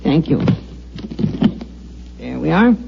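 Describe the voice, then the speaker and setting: Prim, eccentric little professor. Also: male voice, on the high side, sing-song, slow, creaky, music in the background, heavily acted. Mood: polite, unruffled. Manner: courteous, prim, precise. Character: polite, eccentric, fussy little male genius professor. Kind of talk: old-time radio drama acting. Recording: muffled, noisy old-time radio recording.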